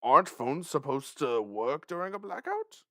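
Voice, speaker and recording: A man's voice: a little high, very animated, moderate pace, a bit bright, a little hiss or hum. A man voicing a stern, questioning male judge from a courtroom video game, speaking formally. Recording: music behind the voice.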